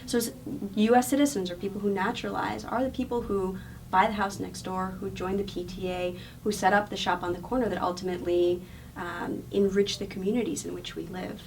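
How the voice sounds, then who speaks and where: A woman's voice: mid-range voice, fairly even intonation, a little fast, vocal fry, a little mellow, recorded from a distance, slight background noise, rough recording. A woman with a non-local accent answering interview questions in an earnest, explanatory way, often repeating words as she thinks. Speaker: guest.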